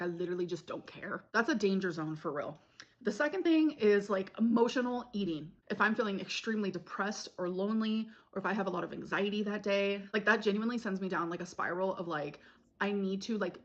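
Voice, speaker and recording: A chatty woman heard in a lo-fi clip. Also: medium pitch. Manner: chatty, many filler "like"s. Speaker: vlogger answering viewer questions. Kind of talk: casual chat.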